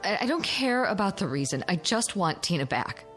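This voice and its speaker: A woman's voice: medium pitch, very animated, moderate pace, fairly bright tone, soft background music. An actress playing an anxious, determined mother in a radio drama, speaking with emotional insistence. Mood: anxious, pleading. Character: distraught, determined mother of a missing little girl. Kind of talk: modern radio drama acting.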